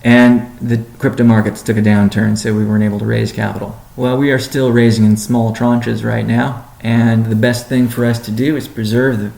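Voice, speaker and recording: A man, a company chief executive, answering community questions in a measured, deliberate, businesslike way on a weekly conference call. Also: mid-range voice, level tone, vocal fry, darkish tone, roomy sound.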